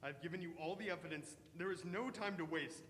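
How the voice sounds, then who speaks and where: Radio drama, echoey hall: male actor as obsessed, pleading vampire-hunting father, high-pitched and theatrical. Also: brisk, fairly bright tone, lightly acted. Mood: anguished, insistent. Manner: urgent, emphatic, theatrical. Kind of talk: old-fashioned radio melodrama acting. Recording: echoey hall with faint noise.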